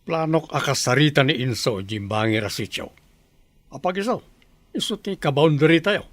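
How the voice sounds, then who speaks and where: Guy playing a skeptical ilocano farmer with big plans, slightly high voice, dry radio drama sound. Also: animated, moderate pace, no echo. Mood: musing, scheming.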